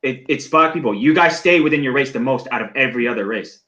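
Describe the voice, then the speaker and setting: A man from the US on a call-in show, quick and assertive in a casual debate. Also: mid-range voice, expressive, pushed and bright, dull audio. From United States.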